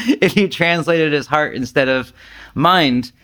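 A man's voice: a little high, takes it slowly. Casual, self-deprecating man giving a talk. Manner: animated, self-deprecating, chuckles often. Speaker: Zen teacher leading a retreat talk. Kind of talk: informal dharma talk, conversational and rambling.

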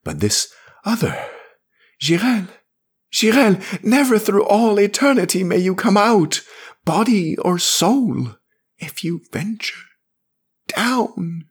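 A man voicing an anxious male priest, pleading urgently in a hushed, breathy manner. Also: sits high, sing-song, slow pace, voice pushed up, heavily acted.

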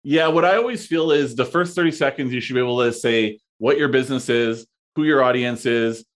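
A man in his thirties talks through ideas in casual conversation. His voice sits at an ordinary pitch. He hesitates often, restarting phrases and dropping in fillers as he thinks aloud. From Canada. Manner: halting, many fillers and restarts. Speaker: podcast guest, entrepreneur.

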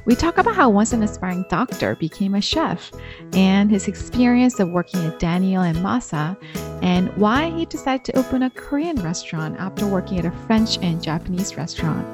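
A woman speaks as a podcast host and interviewer. Her voice sits at an average pitch for a woman. She has a conversational, introductory way of presenting, with light music in the recording. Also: animated, brisk.

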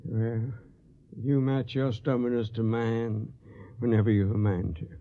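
A man's voice: medium pitch, level tone, measured pace, natural voice. An actor playing an ailing, stubborn old father in a radio drama, weak but affectionate. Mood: frail, tender. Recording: slightly muffled radio recording.

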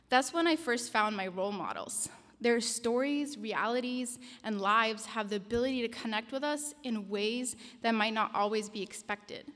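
A woman's voice: on the high side, a bit bright. Earnest young woman preaching in a hall. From Denmark. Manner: earnest, personal storytelling with measured pauses. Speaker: khateebah giving a pre-sermon talk. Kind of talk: sermon. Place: mosque prayer hall. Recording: reverberant hall.

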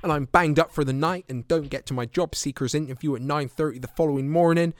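A man's voice: fairly high pitch, fast talker, no echo, lightly acted. An actor playing a weary, bitter young homeless man with a British accent in an audio play. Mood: indignant storytelling. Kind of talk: audio play monologue and dialogue.

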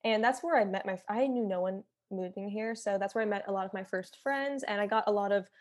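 A woman's voice: mid-range voice, fast talker. A young woman from Philadelphia, a singer-songwriter talking casually as an interview guest, filling her speech with "like". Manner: chatty, many fillers like "like".

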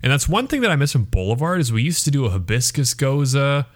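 A man's voice: mid-range voice, very animated, fast talker. Man in a casual, descriptive review chat. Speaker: beer reviewer and show regular.

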